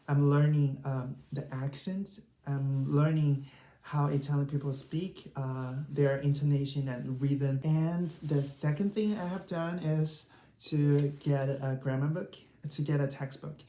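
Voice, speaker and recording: A woman's voice: deep voice, fairly even intonation, unhurried, dark tone. A woman with a Chinese accent, a native Chinese speaker, casually sharing language study tips as a vlogger. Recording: roomy, echoing sound.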